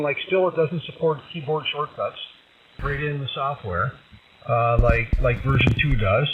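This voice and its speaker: A man's voice: medium pitch, lo-fi sound. A man chatting casually as a ham radio operator, heard over a radio transmission. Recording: distant, thin, noisy shortwave radio link.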